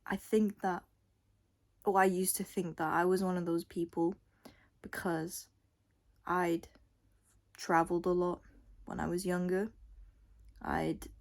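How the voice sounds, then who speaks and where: A woman's voice: lowish voice, little pitch movement, slow pace, long pauses. A young adult woman vlogger giving a casual, unscripted monologue in a rambling, blunt way with casual swearing. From Scotland and Thailand.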